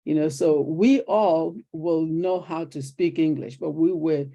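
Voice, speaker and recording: Older Nigerian woman, guest storyteller, rough-edged mellow tone. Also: low-pitched, measured pace, highs cut off. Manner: animated storyteller acting out quoted exchanges. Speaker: guest, retired teacher. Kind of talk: conversational interview storytelling.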